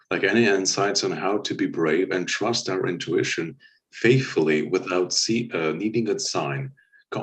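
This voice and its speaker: A man's voice: low-pitched, distant mic, poor audio. A man hosting a casual spiritual livestream chat, rambling along and filling nearly every phrase with "like". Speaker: host.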